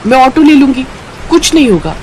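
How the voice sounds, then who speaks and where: A woman's voice: sits high, sing-song, a little fast, darkish tone, slight background noise, rough recording. A woman voicing a stubborn, impatient young mother in a cartoon story, speaking insistently. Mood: defiant. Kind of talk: Hindi cartoon story voice acting.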